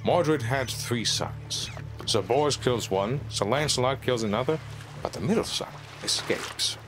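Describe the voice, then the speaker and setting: A man's voice: mid-range voice, lively intonation, unhurried, close to an everyday voice. A man voicing an older, evasive smuggler who rambles and fumbles for words, in a fan-made audio drama. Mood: storytelling. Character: older male smuggler mentor, wry and evasive. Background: loud music score.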